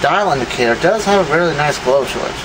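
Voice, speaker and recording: A man's voice: expressive, fast. Offhand man with noisy background hum. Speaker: video host showing vintage electronics. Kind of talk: casual unscripted demonstration. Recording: clear background hum and rumble noise.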